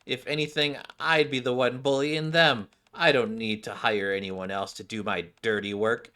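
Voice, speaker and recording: A man voicing a surly, sharp-tongued schoolgirl from a game, curt and dismissive. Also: mid-range voice, little pitch movement, normal speed, lightly acted. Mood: scornful, angry.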